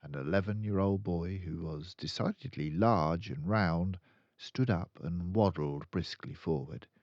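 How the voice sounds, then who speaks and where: An older man reading a children's story aloud, deliberate and dramatic in his narration. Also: sits low, darkish tone. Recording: slightly dull, roomy home recording.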